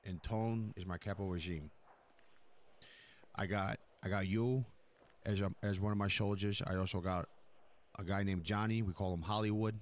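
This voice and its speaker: A man's voice: sits low, steady tone, normal speed, long pauses. A man improvising a mafia boss in a role-play, talking conversationally in a profane, rambling, explanatory way. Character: mafia boss, profane, laying down the crew's hierarchy. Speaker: role-play streamer playing a crime boss.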